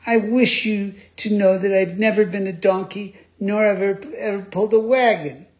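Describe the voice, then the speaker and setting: A man voicing an impulsive wooden puppet boy who pleads and stammers in a high, whining voice. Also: normal speed, close to an everyday voice. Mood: offended. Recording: dull lo-fi recording.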